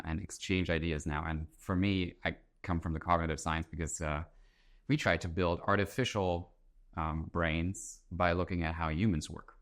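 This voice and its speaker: A man talks as a guest in an interview. His voice is low and deep, and he lays out his ideas in a thoughtful, conversational way. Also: fairly bright tone. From Germany. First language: German. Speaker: interview guest, author and entrepreneur. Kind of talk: conversational explanation.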